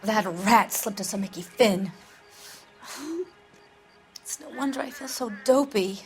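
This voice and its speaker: A woman's voice: a little high, a little slow, faint music behind. A woman voicing a flirtatious, haughty former film actress who waves off questions in a drowsy drawl. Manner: flirtatious, dismissive, slightly drowsy. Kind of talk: video game cutscene acting.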